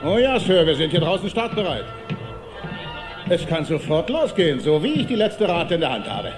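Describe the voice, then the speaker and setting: Casting: deferential male hustler, eager, high-pitched, swooping, music behind. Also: big pitch swings, normal speed. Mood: eager, assuring. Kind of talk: dubbed film dialogue.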